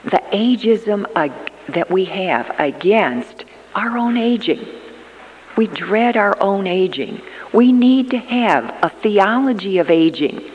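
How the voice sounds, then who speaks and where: A woman in her fifties giving an earnest talk at a church gathering, emphatic and often pausing with hesitations. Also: medium pitch, expressive, muffled recording, slight background noise. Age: 50s. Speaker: church conference speaker. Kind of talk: prepared talk on aging and ministry. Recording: long reverb of a large hall.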